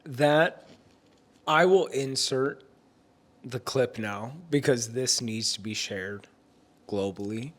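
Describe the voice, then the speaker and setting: A man's voice: medium pitch, slow, long pauses. A young man vlogger chatting casually and joking with his partner. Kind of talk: casual chat.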